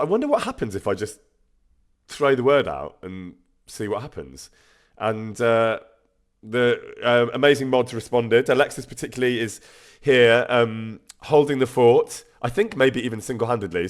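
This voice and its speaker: A man with a bunged-up nose, chatting casually on a live stream, self-deprecating and full of ums. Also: lowish voice. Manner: chatty, self-deprecating, thanks viewers warmly with frequent ums. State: congested, stuffy nose.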